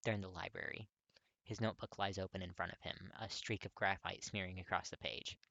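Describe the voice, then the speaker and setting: Male story narrator, lowish pitch, bright forceful tone, dry close recording, expressive scripted reading. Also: fairly quick, vocal fry, no room sound, dull audio. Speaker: audiobook-style fan fiction reader.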